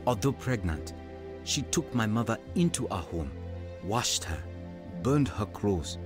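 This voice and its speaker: A man's voice: on the low side, sing-song, unhurried, music in the background. An actor playing an earnest, weary evangelist who tells his life story in a radio drama. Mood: reflective. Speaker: actor narrating and playing a preacher. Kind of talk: radio drama acting and first-person narration.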